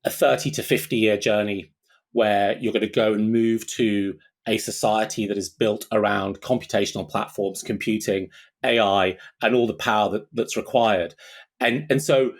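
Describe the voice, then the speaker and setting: A man speaking as an expert guest in an interview, explaining his thinking aloud step by step in a conversational way. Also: lowish voice. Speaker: expert guest, technology analyst.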